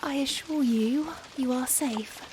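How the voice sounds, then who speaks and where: Woman as a soft, high-voiced genteel vampire lady. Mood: apologetic, soothing. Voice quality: soft-spoken, breathy in hushed moments. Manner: formal old-fashioned phrasing, slow hesitant pauses. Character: young, charming Victorian vampire, genteel, anguished, protective woman. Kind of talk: ASMR roleplay, softly spoken dramatic monologue. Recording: faint rain-like background noise. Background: rain ambience.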